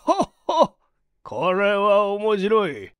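A man voicing a stout, genial, wealthy old gentleman in a story reading, with courteous, deliberate, old-fashioned speech. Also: high-pitched, very animated, smooth, no echo, voice pushed up, full character voice. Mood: amused, curious.

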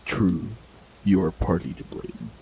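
A man's voice: low-pitched, a little slow, rough recording. A man voicing an ancient immortal male forest warlock in an audio roleplay, speaking with dry, teasing humor. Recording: steady rain noise behind voice. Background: rain.

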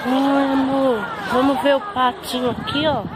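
A woman's voice: high-pitched, flat delivery, measured pace. A woman with a Brazilian Portuguese accent, a mother vlogging a family outing, chattily pointing things out to her children and warning them to stay back. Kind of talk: casual narration while filming her kids. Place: outdoor park and busy animal fair. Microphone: handheld phone camera. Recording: loud crowd and outdoor noise. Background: crowd chatter.

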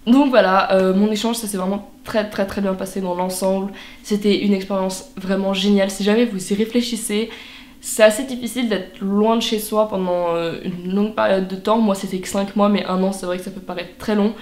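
Teen girl vlogging in her bedroom, chatty, lots of fillers, mid-pitched voice, roomy echo. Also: fairly even intonation, fairly quick, darkish tone. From France. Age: teens. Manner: chatty, frequent fillers and restarts. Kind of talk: casual vlog to camera. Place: bedroom, indoors.

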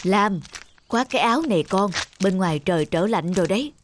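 A woman's voice: medium pitch, lively intonation, a little fast, noisy background, close to an everyday voice. A woman voicing a caring elderly mother in a cartoon, coaxing and fussing over her grown daughter. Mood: gently fussing. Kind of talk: cartoon voice acting. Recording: loud music behind the voice.

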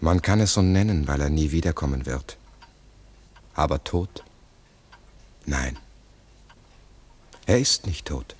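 Deep raspy-ish thinker explaining a mystery, old 60s radio play, slow earnest delivery. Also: male voice, animated, a little mellow, full character voice. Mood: pensive. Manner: deliberate, pensive, explanatory. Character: earnest, philosophizing male investigator of a mystery. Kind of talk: 1960s German radio play acting. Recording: 1960s mono radio recording.

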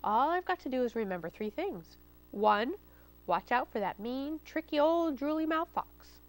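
A woman's voice: high voice, expressive, moderate pace. A woman voicing a plucky young female piglet in a children's story, bold, sassy and confident. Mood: confident, eager.

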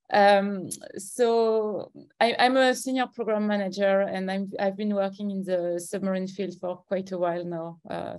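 A woman's voice: mid-range voice, a little mellow. A middle-aged woman from France with a French accent, presenting informally on a video call. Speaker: conference presenter.

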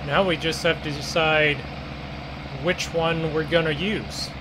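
Slow-talking man narrating a farming game. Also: fairly high pitch, fairly even intonation, noisy background. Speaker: gaming video host. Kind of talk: casual role-play gameplay commentary.

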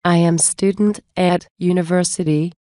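A woman reading English example phrases for a language lesson, saying many of them twice in a measured way. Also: lowish voice, steady tone, dark tone, dry recording, clean studio sound. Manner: measured, repeats each phrase slowly. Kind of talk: slow scripted phrase reading.

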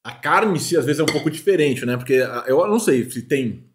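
A man's voice: mid-range voice, lively intonation, fairly quick, echoey room, background noise. A man in his thirties with a Brazilian accent, chatting informally and emphatically as host of a food show. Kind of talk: casual chat. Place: indoor studio set at a table. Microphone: desk microphone on the table.

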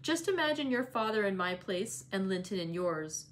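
A woman reading the part of a scornful middle-aged male villain, speaking slowly and forcefully with a mocking edge. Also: mid-range voice, very animated, natural voice. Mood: coaxing.

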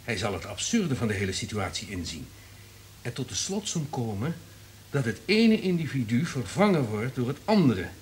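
An actor playing a wary, calculating subordinate in a radio play, deferential but insistent. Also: male voice, mid-range voice, big pitch swings, moderate pace, slight background noise, close to an everyday voice.

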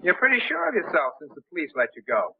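An actor playing a desperate, agitated young gambler in a 1930s film, husky-voiced, on a tinny old soundtrack. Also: male voice, fairly high pitch, huge pitch swings, fast, a little hiss or hum, exaggerated voice. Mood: desperate, pressing. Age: young adult.

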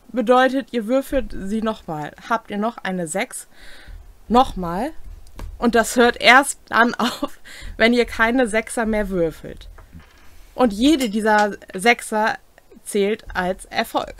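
A woman's voice: mid-range voice, no echo. A young adult woman co-hosting a tabletop game explainer, reading scripted rules aloud in a room at home. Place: small home room, indoors.

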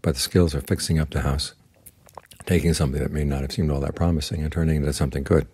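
An older man, a Buddhist monk, giving a slow, calm, plainspoken guided meditation talk. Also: extremely low pitch, slightly rough, no room sound. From United States.

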